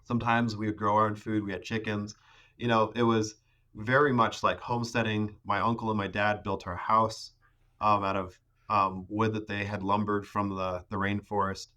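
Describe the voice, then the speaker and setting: Halting young man, bright voice. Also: lowish voice, flat delivery. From Ecuador. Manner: hesitant, many fillers and restarts. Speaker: podcast guest. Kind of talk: casual conversation.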